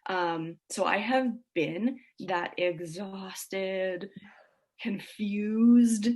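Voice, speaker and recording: A woman's voice: medium pitch, drawn-out words, darkish tone. A woman speaking as an interview guest, explaining things in an earnest, conversational way. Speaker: sleep consultant, podcast guest.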